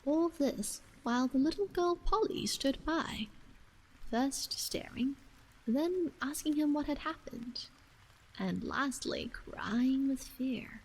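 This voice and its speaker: A woman reads an old novel aloud as a bedtime ASMR storyteller, in a soft, breathy voice that often slips into a whisper, gentle and hushed, with frequent pauses. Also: sits high, animated, rough recording. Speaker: storyteller and streamer reading aloud.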